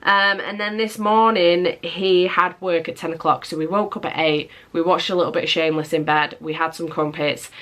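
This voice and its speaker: A woman's voice: fairly low pitch, fairly even intonation, moderate pace, hardly any pauses, a bit bright. A young English woman chatting casually in a vlog, her nose stuffed up from a cold, rambling and swearing freely. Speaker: vlogger. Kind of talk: casual monthly vlog chat. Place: at home, indoors.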